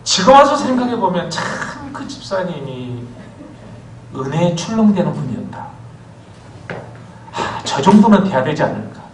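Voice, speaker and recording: A man preaching a Sunday sermon, animated and storytelling, his voice pitched a touch high and full of lively rises and falls. He is picked up from some distance off the mic, with the church's reverb around him and a faint hiss underneath. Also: normal speed, long pauses. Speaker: pastor. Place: church sanctuary. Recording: echoey church hall from far off.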